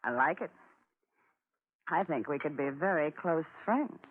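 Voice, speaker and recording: A woman's voice: sits low, lively intonation, measured pace, husky, heavily acted. A woman acting a young, worldly bar girl in a 1940s radio drama. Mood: confiding, sly. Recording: phone-like, noisy 1940s radio recording.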